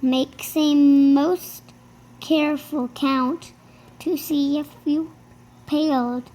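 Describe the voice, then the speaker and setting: A young girl reading a rhyming storybook aloud haltingly, sounding out hard words and repeating them after a helper. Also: high voice, steady tone, warm tone, rough recording. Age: child.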